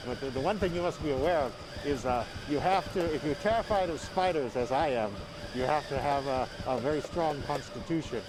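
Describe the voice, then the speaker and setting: A man's voice: mid-range voice, brisk, noisy background. A man co-hosting with comic banter as he presents a show. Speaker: show co-host.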